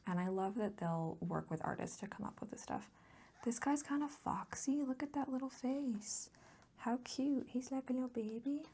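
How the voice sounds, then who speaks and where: Soft-spoken ASMR woman, creaky and whispery. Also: normal speed. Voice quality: soft, breathy whispering mixed with low voiced murmur. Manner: gushing, rambling, affectionate. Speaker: host of a hobby ASMR channel. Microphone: close mic, recorded as voice-over.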